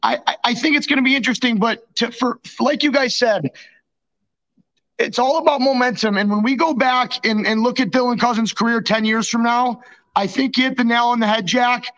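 A man co-hosting a casual hockey podcast, agreeable and halting, repeating words as he goes, on slightly lo-fi audio. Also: extremely high pitch, fairly even intonation, a bit bright. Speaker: sports podcast co-host.

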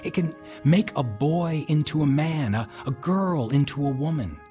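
An actor playing a loyal Roman soldier, a captain's devoted aide, earnest and dramatic in an audio drama. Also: male voice, on the high side, moderate pace, warm tone, close to an everyday voice. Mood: solemn. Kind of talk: full-cast audio drama acting and narration. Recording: music and noise behind drama.

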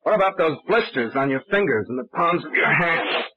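A muffled vintage radio recording of a shrewd young male investigator. He is husky and terse, questioning and giving orders with dry sarcasm, in old-time mystery drama style. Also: fairly high pitch, expressive, a little fast. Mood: brisk, commanding. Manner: terse, probing, dryly sardonic.

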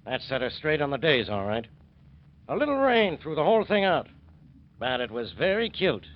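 A man's voice: a little high, big pitch swings, normal speed. An American man playing a hard-nosed, smug police investigator, blunt and sardonic, in a 1940s radio crime drama. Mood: smug, stern. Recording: dull 1940s radio recording.

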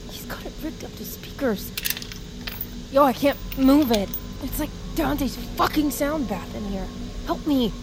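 A woman's voice: high-pitched, animated, measured pace, loud music behind the voice, rough recording. An actress playing an anguished, defiant young woman in an audio drama, accusing and emotionally raw. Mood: alarmed. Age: young adult. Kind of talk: audio fiction drama acting.

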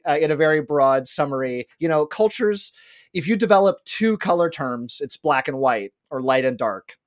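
A man's voice: fairly high pitch, dry recording, studio quality. A man co-hosting a podcast, explaining trivia in a casual, rambling way with plenty of fillers. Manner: rambling, with many fillers and restarts; lecturing, explains in detail. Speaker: podcast co-host presenting a trivia segment.

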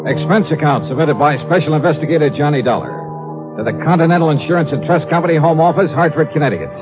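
An actor playing a brisk, slangy insurance investigator in a 1950s radio detective drama, both in dialogue and narration. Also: male voice, fairly high pitch, over loud music, close to an everyday voice. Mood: matter-of-fact. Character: brisk, wisecracking male freelance insurance investigator. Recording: thin, narrow old radio recording.

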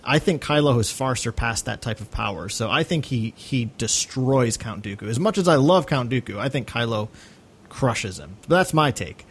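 Male co-host, medium pitch, conversational and opinionated, informal chat show. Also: expressive, normal speed, breathy edge. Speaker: podcast co-host. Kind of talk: casual opinionated discussion.

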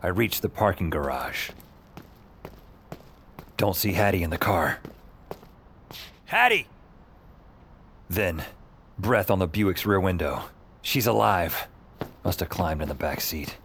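An actor playing a weary, wry urban-fantasy hero, narrating in the first person and talking in a clipped hard-boiled way. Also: male voice, sits low, very animated, slow pace, long pauses, a bit bright, a little hiss or hum, full character voice. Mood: relieved, wry. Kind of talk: first-person audio drama narration and dialogue. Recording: music bed under voice.

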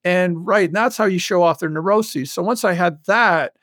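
A man's voice: high voice, normal speed, dry recording, studio quality. A man chatting casually as an interview guest, telling stories about his creative work with enthusiasm and emphasis. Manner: enthusiastic, animated storytelling with frequent emphasis. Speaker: guest, audio fiction creator and commercial voice director.

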